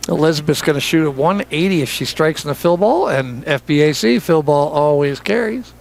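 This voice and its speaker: A man's voice: on the high side, creaky. A man doing live bowling commentary in a joking, chatty way, teasing his partner and laughing often. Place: bowling center.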